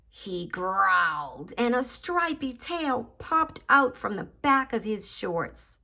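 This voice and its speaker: Slow, expressive woman reading to children. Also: fairly high pitch. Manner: addresses children directly, dramatic emphasis on key words. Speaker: children's story time host and reader. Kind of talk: expressive picture-book read-aloud for young children.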